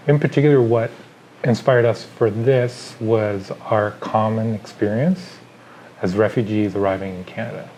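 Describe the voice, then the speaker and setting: Thoughtful Chilean-born man, low voice. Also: steady tone, darkish tone, a little hiss or hum, poor audio. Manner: thoughtful, pauses with fillers, lists ideas. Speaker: artist. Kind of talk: reflective artist interview.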